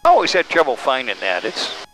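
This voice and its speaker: A man's voice: mid-range voice, huge pitch swings, brisk, a bit bright, low-quality recording. A man riding along as a flight examiner, chatting casually over a cockpit intercom. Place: small airplane cockpit in flight. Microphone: aviation headset intercom. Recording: clear aircraft engine noise over intercom.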